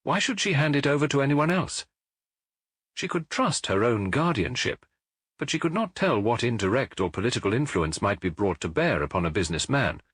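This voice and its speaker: Male narrator as Victorian gentleman detective, English accent, self-assured, precise, animated intonation, slightly husky. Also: medium pitch, brisk, no room sound, clean studio sound. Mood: confident, reasoning. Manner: precise, authoritative, instructing. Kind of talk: audiobook character acting.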